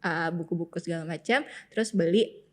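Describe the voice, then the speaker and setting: A young woman with a Jakarta Indonesian accent, telling personal stories in a casual, colloquial, animated way as a podcast co-host. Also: on the low side, brisk. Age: young adult. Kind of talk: casual chat, personal storytelling.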